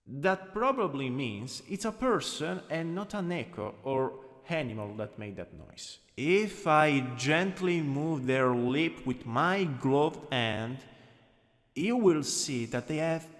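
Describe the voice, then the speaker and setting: A man with an Italian accent voicing a teasing, lowkey dominant anatomy lecturer in an ASMR roleplay. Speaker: ASMR voice actor. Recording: long reverberant hall echo.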